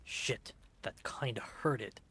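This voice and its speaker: A man's voice: takes it slowly, fairly bright tone. A man voicing a big creature henchman in a visual novel, casual and reluctant yet menacing. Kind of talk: visual novel character acting.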